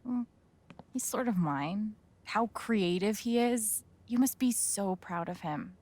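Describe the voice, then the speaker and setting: An actress playing a gentle, caring young woman in a video game, soft-spoken and sincere in a naturalistic way. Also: mid-range voice, expressive, slow. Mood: warm, sincere.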